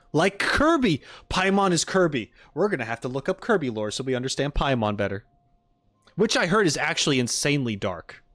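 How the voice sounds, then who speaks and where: A man's voice: mid-range voice, fairly bright tone, no echo. Male streamer, dry close mic. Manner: joking, mock-indignant, informal. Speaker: reaction streamer, host. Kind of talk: casual reaction commentary.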